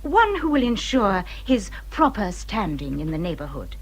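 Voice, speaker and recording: Husky, bitter English widow. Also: female voice, medium pitch, sing-song, normal speed. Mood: insistent. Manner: emphatic, formal, dramatic delivery. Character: bitter, injured widow warning a younger friend. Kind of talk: British period radio drama acting.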